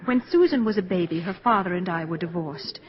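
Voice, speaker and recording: A woman's voice: fairly low pitch, fairly quick, natural voice. Practical, guarded mother played by a woman, vintage radio. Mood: guarded, firm. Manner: polite, firm, matter-of-fact. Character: brisk, guarded, practical businesswoman and divorced mother. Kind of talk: 1940s radio acting. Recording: dull 1940s radio recording.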